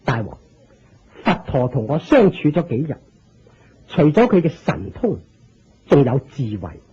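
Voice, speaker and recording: An actor with a Hong Kong Cantonese accent playing an earnest, reverent elderly religious master turned disciple in a Buddhist radio drama, speaking in a solemn, deliberate, declamatory way. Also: male voice, on the high side, big pitch swings, warm tone, a little hiss or hum. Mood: fervent, reverent. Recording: dull lo-fi radio drama recording.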